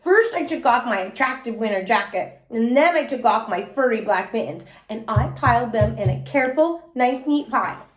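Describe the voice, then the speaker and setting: A woman reads a children's story aloud like a teacher. Her voice is high and highly animated, with expressive phrasing. The room around her is noticeably echoey. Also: moderate pace, hardly any pauses, soft background music.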